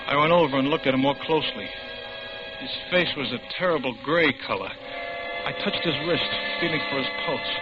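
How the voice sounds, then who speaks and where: A man's voice: mid-range voice, natural voice. Guy acting nervous young office clerk, confessional old radio drama, medium voice, muffled with music blaring behind. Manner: earnest, tense, confessional. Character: anxious young law-office clerk recounting his downfall. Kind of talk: 1950s radio drama acting and first-person narration. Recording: muffled vintage radio, loud music bed.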